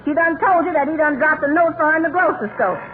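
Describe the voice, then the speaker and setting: An actress playing a loyal, folksy housemaid in a 1940s radio comedy. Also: female voice, high voice, quick pace, lightly acted. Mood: scolding. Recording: tinny, hissy 1940s radio recording.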